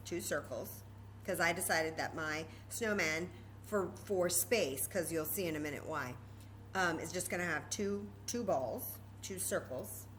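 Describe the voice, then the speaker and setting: A woman's voice: on the low side, a bit bright, slight background noise. A woman presenting a children's story time craft, explaining each step informally and patiently and repeating key words.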